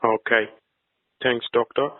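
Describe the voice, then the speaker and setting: Tinny phone audio, guy interviewer, medium pitch, casual and a bit hesitant, keeps rewording his questions. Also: level tone, takes it slowly. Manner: informal, hesitant, rephrases questions. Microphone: telephone. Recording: tinny narrowband phone line.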